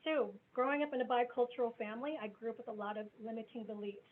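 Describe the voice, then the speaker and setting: A woman's voice: a little high, fast talker. A woman in her forties from the American Midwest, giving a candid, conversational self-introduction as a business coach on a video call. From grew up in the US Midwest. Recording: tinny phone-like video call line with faint noise.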